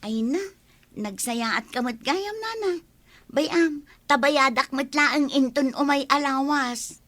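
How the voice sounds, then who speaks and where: A woman's voice: high-pitched, slow, fairly bright tone, dry recording. A woman acting a meek, apologetic newcomer to the village, with an Ilocano accent, in a comedy radio drama. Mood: grateful, surprised. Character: apologetic young newcomer woman asking for credit.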